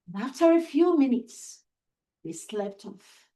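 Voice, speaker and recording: High-pitched woman storyteller, slow and expressive. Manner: deliberate, suspenseful, repeats phrases for emphasis. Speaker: storyteller, host of a storytime show. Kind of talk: dramatic oral storytelling for children. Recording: echoey, roomy sound.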